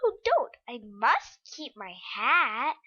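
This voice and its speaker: A woman's voice: a little high, big pitch swings, slow, pushed and bright, lo-fi sound, exaggerated voice. A woman voicing a shy little girl who pleads tearfully in an audiobook. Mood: despairing.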